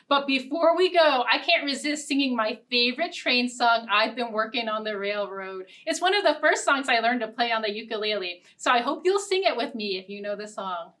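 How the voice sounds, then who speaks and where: Higher-voiced American woman hosting children's storytime. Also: distant mic. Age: young adult. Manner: animated, addressing young children. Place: indoor storytime set with backdrop.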